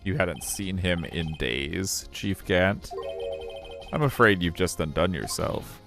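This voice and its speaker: A man voicing an earnest young male defense lawyer in a fan dub of a game, speaking in a firm, deliberate courtroom manner. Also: deep voice, gravelly, music in the background, full character voice.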